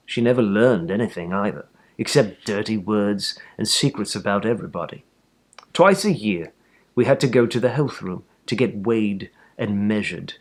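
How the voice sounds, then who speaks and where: A man reading a children's story aloud with animated, theatrical emphasis. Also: mid-range voice. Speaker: storybook reader.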